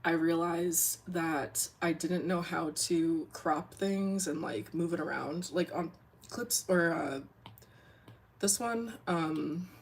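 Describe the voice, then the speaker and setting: Deep, husky young woman streamer. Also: slow. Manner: rambling, lots of "like" and "um". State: sniffling. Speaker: comic artist streamer. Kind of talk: casual livestream chat while drawing.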